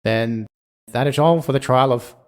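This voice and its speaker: A man's voice: medium pitch, moderate pace, heavy background noise, lightly acted. A man acting an authoritative older courtroom judge in a video game drama, formal and musing. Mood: deliberating. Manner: formal, musing, hesitant hums. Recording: music clearly under the voice.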